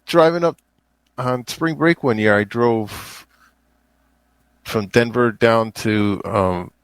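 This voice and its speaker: A man's voice: medium pitch, slow pace, clear, resonant tone, dry recording. American guy on a podcast, mid-range voice, laid back and informal, dry room sound. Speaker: podcast co-host or guest. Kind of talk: casual conversational podcast chat.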